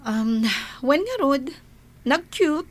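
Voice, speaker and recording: A woman's voice: sits high, sing-song, extremely slow, exaggerated voice. A woman playing a weary, self-sacrificing migrant worker in a radio drama, with a Filipino accent and a plaintive, yielding manner. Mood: resigned.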